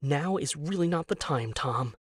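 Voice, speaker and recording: A man's voice: fairly high pitch, lively intonation, a little slow, slightly rough, no room sound, studio quality, pitched above the performer's own voice. A man voicing a gritty, blunt wife, terse and exasperated, in an audiobook. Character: gritty, blunt, sweary wife under threat. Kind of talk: audiobook character acting.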